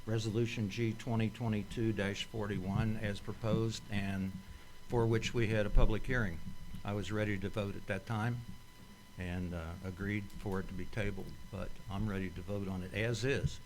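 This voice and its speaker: A man's voice: on the low side, level tone, normal speed, a little hiss or hum, poor audio. A man speaking at a township meeting, firm and plain-spoken about his vote. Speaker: township trustee. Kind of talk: public meeting discussion.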